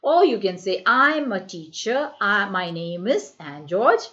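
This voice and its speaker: Teacher lady from india going over english for kids, repeats stuff slow, kinda dull muffled sound. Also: medium pitch, sing-song, bright, forceful tone. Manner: coaxing, repeats words slowly for learners. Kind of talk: online English lesson for children.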